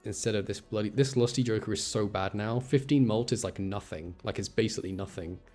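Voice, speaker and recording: Male game streamer, lowish mellow voice, chatty and mildly exasperated, faint music underneath. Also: a little fast. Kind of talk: casual live game commentary, thinking aloud.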